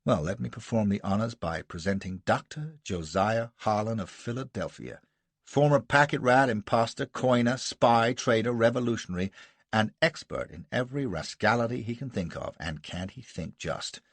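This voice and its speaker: A man reading aloud and voicing a gruff, blustering American colonel with a barking, bullying manner. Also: fairly low pitch, moderate pace, dry recording. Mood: scornful.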